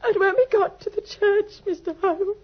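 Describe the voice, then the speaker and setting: High-voiced, naive young woman. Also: moderate pace. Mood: distraught. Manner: breathless, earnest, deferential, pours out her story. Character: naive, trusting, lovelorn young woman client, anxious and devoted. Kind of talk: old British radio drama acting. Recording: dull, lo-fi old radio recording.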